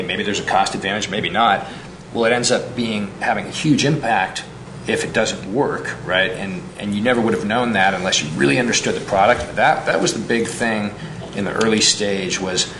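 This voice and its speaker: A man's voice: fairly low pitch, echoey room, background noise. A man speaking on a business school panel in a conversational way, full of "you know" fillers. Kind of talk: panel discussion. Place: lecture hall.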